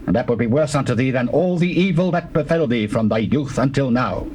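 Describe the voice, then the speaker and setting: A man's voice: mid-range voice, animated, fairly quick, darkish tone, lightly acted. Man acting a stern biblical army commander, noisy tape. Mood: stern reproach. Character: stern military commander rebuking his king. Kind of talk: dramatized scripture, classical stage acting. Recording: noisy old cassette tape.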